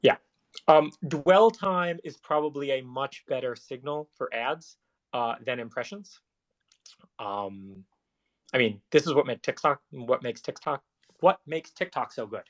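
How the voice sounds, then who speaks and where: A man's voice: mid-range voice, expressive, measured pace. A man talking casually and technically, like an engineer in a live discussion.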